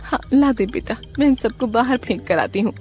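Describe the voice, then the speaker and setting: A woman's voice: fairly high pitch, expressive, brisk, a little mellow, faint music behind, voice pushed up, natural voice. Woman voicing a caring, coaxing village mother. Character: caring, pious village mother of a grown son. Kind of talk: cartoon story dialogue.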